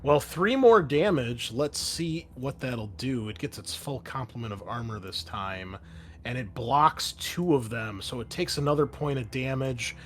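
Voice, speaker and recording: Male game master, mid-pitched, conversational with dramatic descriptive narration. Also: animated, measured pace. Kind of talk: narrating and refereeing a tabletop roleplaying game.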